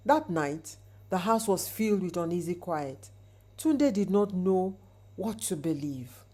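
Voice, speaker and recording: A woman's voice: fairly low pitch, lively intonation, unhurried, mellow. A woman narrating a scripted folktale in a dramatic, suspenseful storytelling manner with rhetorical asides.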